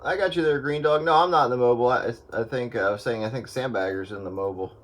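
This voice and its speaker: A man chats casually over two-way radio as an operator in a round-up. His speech is quick and his pitch is ordinary for a man. Heavy static and noise cover the transmission.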